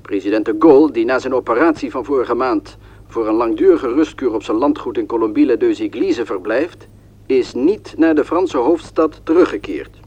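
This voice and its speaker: A man playing a formal, measured radio news correspondent with a husky voice, in a dull radio recording. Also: medium pitch, darkish tone, close to an everyday voice.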